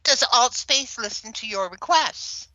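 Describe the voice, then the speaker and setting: A woman hosting a live online conference, moderating in a cheerful, unhurried way. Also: a little high, lively intonation, bright, forceful tone, no room sound, dull audio. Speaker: event host.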